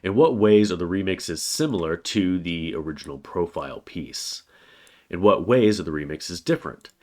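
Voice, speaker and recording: A man with an extremely low, deep voice talks through a weekly course overview like a college writing teacher. He has a cold, so his voice sounds a little congested. His delivery is plain and steady, with frequent "uh" fillers between phrases. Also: normal speed. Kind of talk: recorded course overview lecture.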